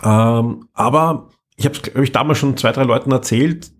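A man's voice: mid-range voice, normal speed. A man with an Austrian accent hosting a podcast in casual, conversational moderating and review chat. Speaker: podcast host.

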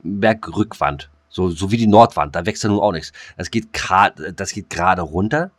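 A man's voice: low voice, normal speed, no echo. A man co-hosting a joking podcast chat, rambling with many fillers and restarts. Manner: rambling, full of ums and restarts. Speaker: podcast co-host.

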